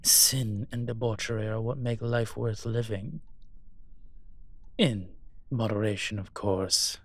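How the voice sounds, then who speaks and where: A man playing a suave incubus demon professor in a roleplay audio drama, measured, wry and teasing. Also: on the low side, steady tone.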